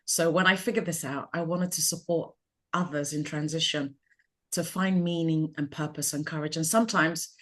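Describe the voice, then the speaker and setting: Middle-aged woman from Ghana, low-ish voice, measured and reflective interview guest. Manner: calm, measured, thoughtful. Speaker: interviewee, life coach.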